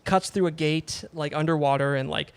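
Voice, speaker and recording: A man's voice: on the high side, steady tone, normal speed, smooth, no echo. A man hosting a casual podcast, rambling with lots of fillers and casual swearing. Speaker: podcast host.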